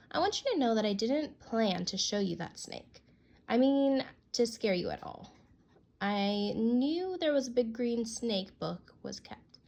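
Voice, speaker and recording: A woman's voice: fairly high pitch, a bit bright. A woman voicing a child who writes a grudging, earnest apology letter, read expressively as a storybook.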